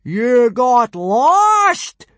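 A man voicing a furious Viking pirate instructor in an audiobook, booming and roaring with drawn-out scornful outrage. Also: very animated, sharp tone, dry recording, heavily acted. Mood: furious, outraged. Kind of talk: audiobook character voice.

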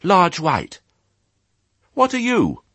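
A man's voice: high-pitched, wildly swooping pitch, drawn-out words, a bit bright, husky, no echo, pitched above the performer's own voice, exaggerated voice. A man voicing a polite, earnest and naive young boy piglet in a storybook. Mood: curious. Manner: earnest, well-mannered, naive.

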